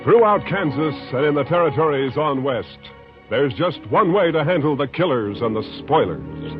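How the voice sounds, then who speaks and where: A man's voice: fairly high pitch, very animated, moderate pace. Dramatic 1950s radio announcer, man. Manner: resonant, declamatory. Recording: dull 1950s radio broadcast with music.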